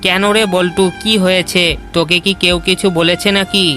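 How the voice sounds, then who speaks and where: Bold cartoon fox, voiced by a man, protective uncle type, high pitch, music behind. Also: flat delivery, moderate pace, bright, forceful tone. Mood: concerned. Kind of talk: cartoon voice acting.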